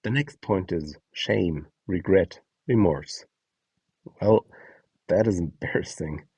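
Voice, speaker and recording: A man's voice: low-pitched, slow, darkish tone, breathy edge, dry recording. A man presenting a scripted guide, announcing prompts and describing short example scenes. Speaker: presenter of an audio donation guide.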